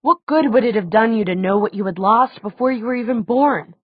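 A woman narrator plays a gentle grown man in an audiobook, a weary guardian figure. He explains things quietly and with measured care. The sound is very dry and somewhat boxed-in. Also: on the high side, husky, dry recording, muffled recording, voice pushed up. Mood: pained.

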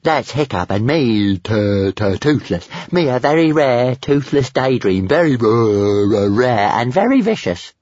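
A man voicing a gruff, boastful little dragon in a storybook, stammering in broken grammar. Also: mid-range voice, animated, unhurried, hardly any pauses, a little mellow, dry recording, highs cut off. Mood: flustered, boastful.

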